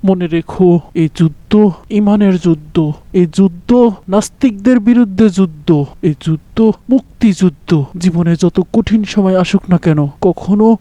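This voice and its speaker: A man's voice: sits high, normal speed, dark tone, no room sound, natural voice. A man voicing a fervent father leaving for war in a cartoon, speaking in a rousing, declamatory way.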